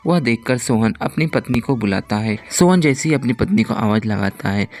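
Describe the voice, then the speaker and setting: Mid-pitched male story narrator. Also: expressive, normal speed, darkish tone. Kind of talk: scripted children's story narration.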